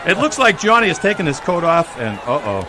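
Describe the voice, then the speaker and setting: A man's voice: on the high side, sing-song, fairly quick. A man giving earnest, detailed mock live parade commentary as the straight man of a broadcast team. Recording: noisy outdoor broadcast with crowd behind. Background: crowd and outdoor street noise.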